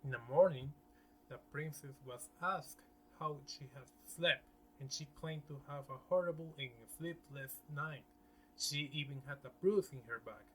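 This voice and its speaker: A man presenting scripted narration in a halting way, sometimes stumbling over words. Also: a little high, a bit bright, slight background noise, rough recording.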